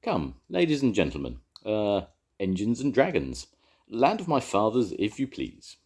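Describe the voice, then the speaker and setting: A man voicing a grand, courteous male choir leader who talks in a high, flowery, formal way in a storybook. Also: huge pitch swings, a little slow, darkish tone, husky, heavily acted. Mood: courtly.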